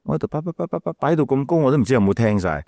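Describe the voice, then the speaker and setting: A man's voice: medium pitch, sing-song, very fast, vocal fry, dark tone, no echo, studio quality. A middle-aged man with a Malaysian Cantonese accent telling stories casually as an interview guest, acting out quotes and repeating words as he goes. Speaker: radio DJ and podcast guest.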